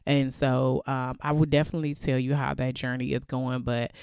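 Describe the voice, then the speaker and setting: A woman with a cold, congested and hoarse, talking casually and chattily on her own podcast with a naturally deep voice. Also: level tone, normal speed, a little mellow, no room sound, studio quality. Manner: chatty, loose, laughs often, lots of fillers. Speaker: podcast host. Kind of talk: casual solo podcast talk.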